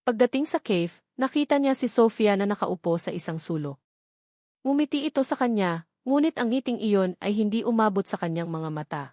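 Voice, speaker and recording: A woman's voice: mid-range voice, lively intonation, normal speed, darkish tone, no echo, clean studio sound. A Filipino woman reading a scripted romance story as a dramatic narrator.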